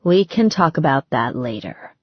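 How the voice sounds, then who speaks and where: A woman voicing a steady, stoic male personal trainer in an audiobook, speaking in a firm, measured, reassuring way. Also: a bass voice, no room sound, highs cut off, pitched below the performer's own voice, exaggerated voice.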